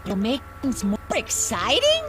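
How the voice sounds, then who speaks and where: A woman's voice: a little high, wildly swooping pitch, measured pace, loud music behind the voice. A woman voicing a gleeful, bossy robotic bear mascot in a video game, theatrical and taunting. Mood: excited. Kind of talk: cartoonish video game voice acting.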